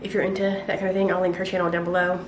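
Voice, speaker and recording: A woman's voice: on the low side, completely flat delivery, racing. Chatty Southern American woman, noisy home recording. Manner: chatty, rambling, slangy. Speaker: book and craft vlogger. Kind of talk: casual vlog chat.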